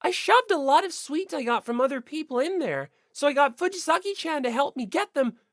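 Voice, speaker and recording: A woman's voice: sits high, expressive, a little fast, no echo, clean studio sound, voice pushed up. A woman voicing a cheerful, carefree teenage girl athlete in an audiobook, exclamatory and breezy. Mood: bright, carefree. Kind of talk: audiobook character voice.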